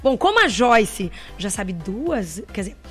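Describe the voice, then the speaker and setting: A woman hosting a casual talk show, chatty and quick to laugh, asking her guest many questions. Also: medium pitch, very animated, fairly bright tone, soft background music. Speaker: host. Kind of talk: casual chat.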